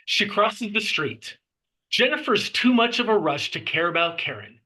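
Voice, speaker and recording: A man with a very bright, sharp voice, pitched a little high, narrates a screenplay. He reads stage directions and scene headings in scripted style. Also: sing-song, normal speed, low-quality recording. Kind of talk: scripted narration at a table read.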